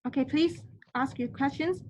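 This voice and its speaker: Polite higher-voiced woman hosting online. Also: a little mellow, slight background noise. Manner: polite, formal, halting. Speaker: online workshop moderator. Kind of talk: hosting an online question session. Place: online video call. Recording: slightly dull online call audio.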